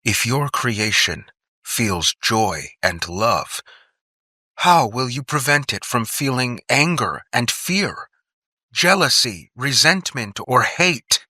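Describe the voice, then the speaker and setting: A man voicing a cautious male doctor in an audiobook, measured and smooth, gently admonishing. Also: medium pitch, animated, no echo, clean studio sound, voice pushed up, heavily acted. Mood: quiet warning.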